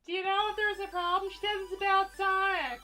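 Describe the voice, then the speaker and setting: Woman doing a cartoon mom, really high bright voice, patient, gentle, helpful, bit of music behind. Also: steady tone, fast talker. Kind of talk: improvised in-character livestream.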